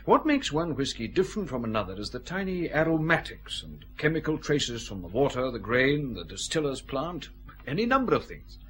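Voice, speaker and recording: An actor playing a fussy customs and excise scientist in a radio detective drama, explaining things in a pedantic, measured way. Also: male voice, medium pitch, sing-song, lightly acted. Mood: lecturing.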